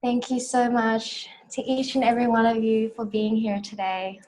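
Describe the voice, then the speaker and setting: A woman's voice: a little high, moderate pace. A young woman from Australia in her twenties, hosting an online conference on a video call from a small room, guiding a breathing exercise and welcoming everyone. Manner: calm, soft counting, warm welcoming. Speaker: conference host. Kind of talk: guided breathing, then welcoming talk. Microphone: video call webcam.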